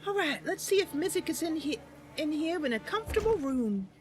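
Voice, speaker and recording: A woman's voice: sits high, big pitch swings, fairly quick, faint music behind. A woman hosting and narrating a game roleplay, chatting casually while ill and tired. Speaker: channel host and game player.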